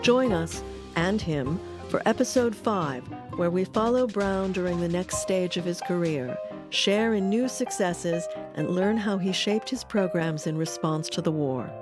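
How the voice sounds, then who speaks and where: A woman narrates a documentary with a measured, expressive read. Her voice sits on the low side. Music plays clearly behind her. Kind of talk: scripted narration.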